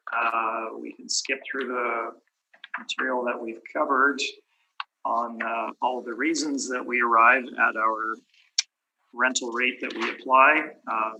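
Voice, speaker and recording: A hoarse man losing his voice, presenting his side at a property tax hearing in a methodical way, often clearing his throat. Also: mid-range voice, noisy background. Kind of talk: formal presentation with many fillers. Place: municipal committee room.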